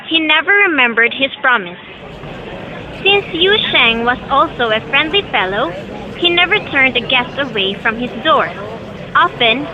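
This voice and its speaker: A woman's voice: high voice, noisy background. A young woman reading scripted storybook narration for an amateur student audio drama. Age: young adult.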